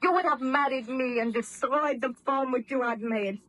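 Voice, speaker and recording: An actress playing an impassioned, reproachful young fiancee in a melodramatic, formal 1930s radio drama style. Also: female voice, high voice, moderate pace, fairly bright tone, dry recording. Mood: bitterly accusing. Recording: muffled old radio drama recording.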